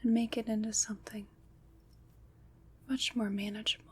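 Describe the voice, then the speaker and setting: A woman playing a kind, soothing witch in a gentle, breathy ASMR whisper, pausing between phrases to reassure. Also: a little high, level tone. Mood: gently encouraging. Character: kind, soothing witch comforting someone troubled by nightmares. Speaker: ASMR performer. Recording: clear background noise.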